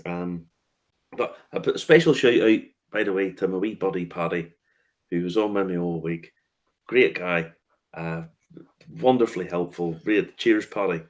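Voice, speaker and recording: A man hosting a casual chat about whiskey tastings, relaxed, laughing often and swearing casually as he restarts his sentences. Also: low voice, moderate pace. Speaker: host and whiskey tour guide.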